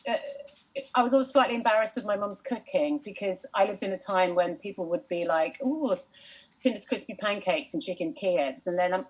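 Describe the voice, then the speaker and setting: A woman guest comedian telling family stories on a video call, filling pauses with um and acting out quotes. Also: medium pitch, rough recording. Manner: fills pauses with um, quotes people in voices. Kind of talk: anecdotal comedy chat.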